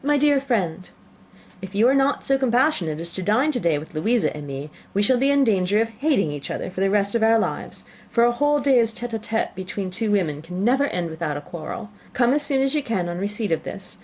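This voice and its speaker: A woman's voice: mid-range voice, lively intonation, a little fast, a little mellow, slightly rough, voice pushed up, rough recording. A woman voicing a genteel young lady's playful letter in a classic novel, with an arch, mannered delivery. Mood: playful, polite. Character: genteel young lady writing a playful invitation. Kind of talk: letter read aloud in audiobook.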